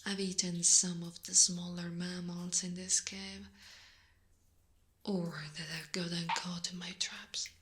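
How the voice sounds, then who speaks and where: A seductive female spider monster, played by a woman whose native language is Italian, speaks in an intimate ASMR roleplay. She takes her time, drawing out words in breathy near-whispers at a medium pitch. Her manner is teasing, hungry and amusedly condescending toward her prey. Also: bright, forceful tone. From Italy.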